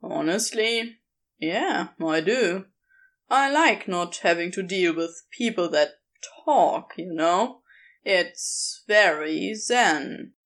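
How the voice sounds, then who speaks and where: A woman's voice: on the low side, expressive, slow, fairly bright tone, pitched below the performer's own voice, exaggerated voice. A woman voicing a laid-back, cheeky man with a casual, easygoing drawl in a story reading. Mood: relaxed, sincere.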